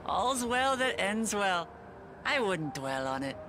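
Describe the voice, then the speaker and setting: An actress playing a gentle, sincere young woman adventurer in a fantasy game, speaking in a measured, consoling way. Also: medium pitch, big pitch swings, a little hiss or hum.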